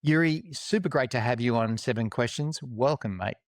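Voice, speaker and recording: A man's voice: medium pitch, normal speed, no room sound, clean studio sound. Upbeat Australian podcast host, man. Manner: upbeat and enthusiastic. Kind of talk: podcast intro and interviewing.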